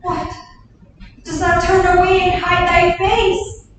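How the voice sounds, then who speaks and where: A woman's voice: extremely high pitch, completely flat delivery, slow, slight background noise. A woman reading a grieving, bitter queen. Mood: lamenting. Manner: lamenting, declamatory. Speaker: actor in staged reading. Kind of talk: staged Shakespeare reading. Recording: echoey hall, distant mic.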